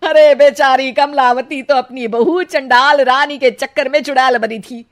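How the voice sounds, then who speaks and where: Cartoon family drama: quarrelsome elderly mother-in-law played by a woman, high-voiced, scornful, sharp-tongued. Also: steady tone, measured pace. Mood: furious, mocking.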